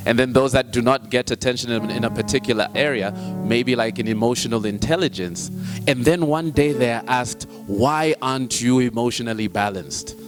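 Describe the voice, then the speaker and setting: A man's voice: mid-range voice, normal speed. A man from Zambia emceeing a book launch, playful and self-deprecating as he introduces a speaker. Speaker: master of ceremonies. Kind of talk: event hosting. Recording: loud music behind the voice.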